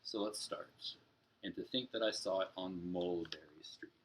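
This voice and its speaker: A man's voice: sits low, normal speed, slight background noise. A young man explaining story structure to young children as a teacher, in a read-aloud lesson. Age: young adult.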